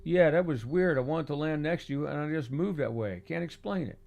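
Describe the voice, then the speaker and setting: A male narrator voicing a calm, dry-humored older man in an audiobook. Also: on the high side, fairly quick, gravelly.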